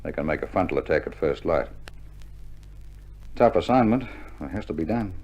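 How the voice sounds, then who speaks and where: An actor playing a genial, unflappable army officer in a hearty 1960s radio drama style. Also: male voice, a bass voice, expressive, normal speed, a little mellow, full character voice. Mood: calm, businesslike. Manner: hearty, jovial, chuckling understatement. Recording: muffled old vinyl radio drama recording.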